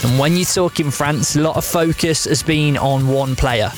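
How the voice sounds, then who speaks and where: A man reporting on a football tournament for a news programme, reading in a measured, clear way. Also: fairly high pitch, faint music behind. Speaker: sports reporter. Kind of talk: scripted sports news report.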